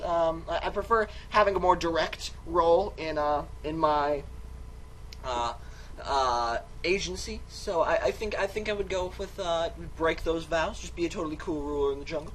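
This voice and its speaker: A man's voice: a little high, normal speed, slight background noise, poor audio. A man doing a dramatic, comic read-aloud of a video game, theatrical, exaggerated and wisecracking. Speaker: game commentator and reader.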